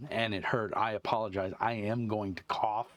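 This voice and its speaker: A deep-voiced man talks casually to his viewers about game books, wandering off on tangents and filling pauses with ums and uhs. His low voice sits close and dry on a clip-on wireless mic, with almost no room sound. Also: fairly even intonation, no room sound. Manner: meandering, many fillers and restarts. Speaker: YouTube channel host reviewing tabletop game books. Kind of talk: casual rambling chat to camera.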